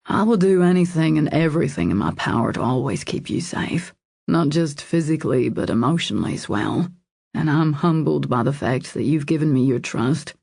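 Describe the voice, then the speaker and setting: A woman voicing a devoted, protective man in an audiobook romance, in a low husky murmur, earnest, intimate and reassuring. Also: lively intonation, normal speed, a little mellow, dry recording, exaggerated voice. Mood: tender, earnest.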